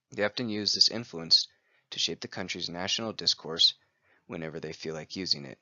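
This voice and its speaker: A man's voice: deep voice, pushed and bright, dry recording, dull audio. A man giving a scripted presentation, reading steadily from a prepared text. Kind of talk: scripted read-aloud presentation.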